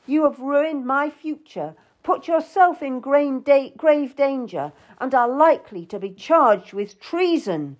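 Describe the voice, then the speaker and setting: A woman's voice: medium pitch, big pitch swings, takes it slowly. A woman voicing an angry, cold man berating someone in an audiobook drama, stern and accusing. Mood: furious.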